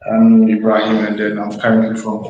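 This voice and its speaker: A young man with a Ghanaian accent speaking as an interview guest, halting and often repeating words. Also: fairly low pitch, monotone, mellow, a little hiss or hum. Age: young adult. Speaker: webinar guest, PhD student. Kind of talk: informal interview, introducing himself. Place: study room, online call. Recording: echoey room, muffled online call audio.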